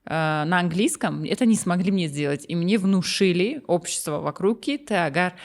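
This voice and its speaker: A woman's voice: fairly low pitch, animated, normal speed. Outspoken young woman chatting in an interview. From Tajikistan. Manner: switches between languages mid-sentence, outspoken. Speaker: guest. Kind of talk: casual interview chat.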